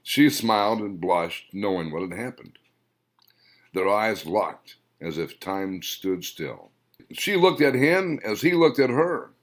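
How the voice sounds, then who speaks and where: A man, the book's author, narrating his novel in a slow, measured audiobook reading. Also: lowish voice, lively intonation.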